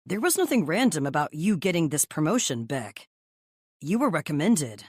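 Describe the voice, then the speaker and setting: A man's voice: a little high, sing-song, normal speed, slightly rough, no echo, studio quality. An actor voicing an admiring male office friend, earnest and complimentary, in audiobook dialogue. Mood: earnest, insistent.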